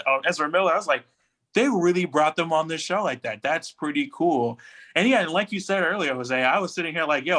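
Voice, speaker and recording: Bright-voiced man chatting casually on a podcast. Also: a little high. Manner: informal, slangy. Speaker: podcast co-host. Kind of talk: casual pop culture discussion.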